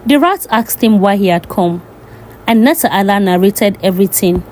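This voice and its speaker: A woman's voice: medium pitch, expressive, normal speed, darkish tone, slight background noise. A woman storyteller reading a scripted folktale narration.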